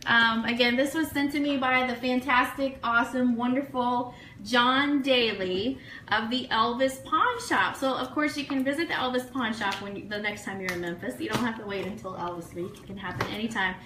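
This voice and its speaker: Bright, high-voiced woman livestreamer. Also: moderate pace. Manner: gushing, effusive, excitable. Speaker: online radio show host. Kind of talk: casual chatty livestream with sponsor shout-outs. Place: room, live social media stream. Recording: echoey room, faint noise.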